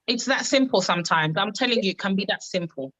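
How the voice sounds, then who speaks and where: A woman from Uganda, giving an emphatic, repetitive motivational pitch on a live call. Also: animated, fast talker, fairly bright tone, low-quality recording. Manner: emphatic, repetitive, testimony-driven. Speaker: wellness business coach on a live call.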